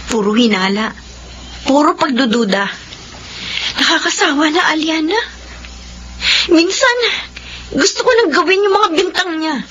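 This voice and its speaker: A high-pitched young wife and mother with a Filipino accent, loving but easily wounded. It is radio drama acting, recorded with a muffled top end and some background noise. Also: lively intonation, a little slow, long pauses, a bit bright. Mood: upset, weary. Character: Venus, troubled young wife and mother, hurt yet loving.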